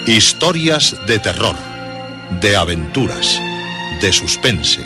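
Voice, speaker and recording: A man's voice: lowish voice, big pitch swings, slow pace. A man announcing a radio programme intro in a dramatic, scripted style. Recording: loud music over the voice. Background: loud background music.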